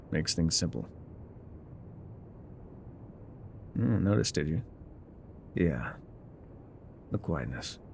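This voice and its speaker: An actor playing a gruff, wry loner guide in an ASMR roleplay, with a dry, sardonic, unhurried delivery. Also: male voice, sits low, darkish tone, a little hiss or hum, heavily acted. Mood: quiet, reflective. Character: gruff, wry loner man guiding a rider on foot.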